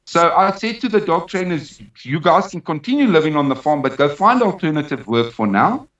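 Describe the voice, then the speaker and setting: A South African man gives an emphatic account as an interview guest, repeating phrases to press his point. His voice sits slightly high for a man. It comes through dull and very roomy, as if recorded away from the microphone. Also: very animated, a little fast, fairly bright tone. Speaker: interview guest, dog trainer. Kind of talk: personal account in a live interview. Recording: roomy, distant, dull sound.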